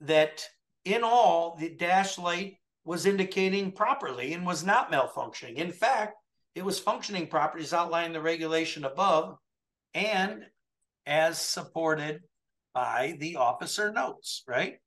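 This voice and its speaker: A man in his forties talks a client through a document over a webcam call, pressing each point and tagging it with "right?". His voice sits a little high for a man and rings bright and forceful. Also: lively intonation, measured pace. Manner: emphatic, keeps checking in with "right?". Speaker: trucking compliance coach. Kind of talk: coaching review over a video call. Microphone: webcam video call.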